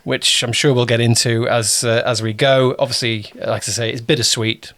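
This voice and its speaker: A man's voice: medium pitch, little pitch movement, normal speed, fairly bright tone. A man hosting a casual interview as a producer, talking informally and often rephrasing himself as he goes.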